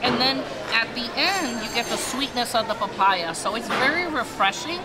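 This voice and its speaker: A woman's voice: on the high side, animated, normal speed, very noisy. A young woman casually reviewing dishes at a restaurant table, enthusiastic and savoring each bite. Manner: enthusiastic tasting, lots of "mm" and "so good". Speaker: food vlogger. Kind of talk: casual food review chat. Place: busy restaurant dining room. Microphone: camera across the table. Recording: loud diners all around. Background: loud restaurant crowd chatter.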